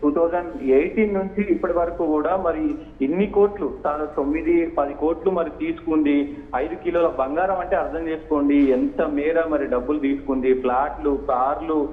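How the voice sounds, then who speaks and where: A man reporting and analysing news unscripted, in a halting, repetitive way. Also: on the high side. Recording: echoey hall, muffled phone-like line.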